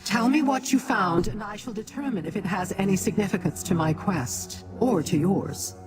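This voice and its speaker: A game actor voicing a haughty, imperious demonic being who talks down to mortals in formal, measured speech. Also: medium pitch, close to an everyday voice. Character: haughty, imperious Daedra ally, scornful of mortals. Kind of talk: scripted video game dialogue. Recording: music behind the dialogue.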